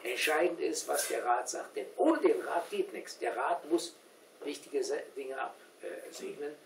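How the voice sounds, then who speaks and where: A man's voice: on the high side, expressive, measured pace, vocal fry. Older man lecturer in a roomy recording. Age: older, 60s or 70s. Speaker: guest lecturer. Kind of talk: discussion after a talk, explaining. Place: small indoor meeting room with seated audience. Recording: roomy, distant camera mic, background hiss.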